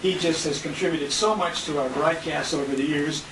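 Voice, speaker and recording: A man's voice: a little high, moderate pace, distant mic. An American man speaking informally as emcee of a tribute dinner, telling anecdotes with quoted conversations. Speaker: emcee and radio show host. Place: restaurant banquet room. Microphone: handheld compact camera at a distance. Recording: echoey, noisy room recorded on handheld camera.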